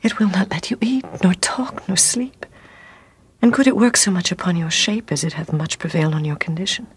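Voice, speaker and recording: A woman's voice: lowish voice, fairly quick, slight background noise, close to an everyday voice. An actress playing a devoted Roman noblewoman pleading with her husband in a classical radio drama, with urgent verse delivery.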